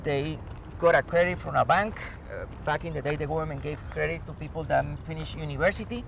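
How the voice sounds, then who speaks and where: High-pitched, creaky Colombian man. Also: quick pace, a bit bright. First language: Spanish. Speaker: professor and climate change expert, interview guest. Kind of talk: animated explanatory interview talk. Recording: outdoors, distant mic, clear traffic noise.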